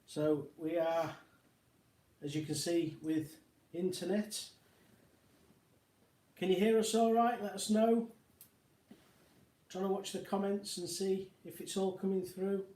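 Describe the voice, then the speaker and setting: A man's voice: high voice, slow pace, pauses often, dark tone. A man co-hosting a casual livestream at home, welcoming viewers with dry, joking asides. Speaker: co-host of a motorhome live chat. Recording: roomy echo.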